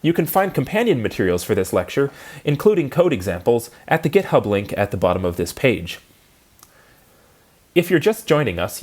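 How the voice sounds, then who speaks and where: A man giving a prepared technical lecture, explaining clearly and methodically step by step. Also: medium pitch, darkish tone.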